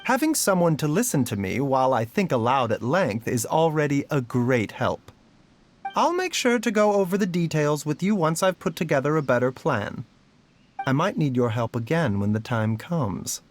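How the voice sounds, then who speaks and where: An actor playing a gentle, scholarly doctor in a fantasy game, speaking in a measured, polite and cautious way. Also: male voice, a little high, sing-song, a little hiss or hum. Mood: earnest. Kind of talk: scripted game voice acting. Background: background music.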